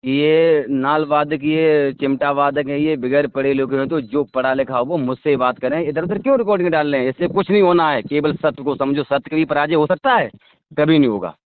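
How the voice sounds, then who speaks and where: Man, slightly high-pitched, insistent and self-justifying in conversation, captured on a dry, tinny phone line. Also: a little fast, smooth. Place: phone call. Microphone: telephone.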